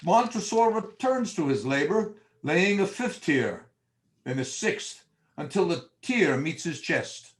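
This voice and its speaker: A male narrator reads scripted gothic horror with dramatic flair. His voice sits a little high and moves widely up and down as he describes the action. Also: expressive, takes it slowly.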